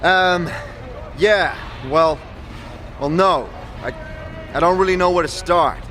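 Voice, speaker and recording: A man's voice: sits high, slow pace, fairly bright tone, slight background noise, voice pushed up. Casting: hesitant, inquisitive adventurer, played by a man, everyday plain delivery for fantasy audio drama. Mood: hesitant, curious.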